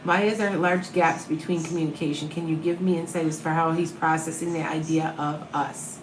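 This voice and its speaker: A woman from the American Midwest, with a Midwestern accent, reading tarot live and answering viewer questions in a calm, soothing, reassuring way. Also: low voice, brisk, roomy sound, noisy background. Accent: Upper Midwest American. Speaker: tarot reader and medium.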